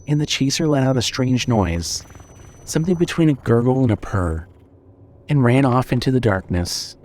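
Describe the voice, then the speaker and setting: A man giving a dramatic, scripted narration of a horror story. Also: mid-range voice.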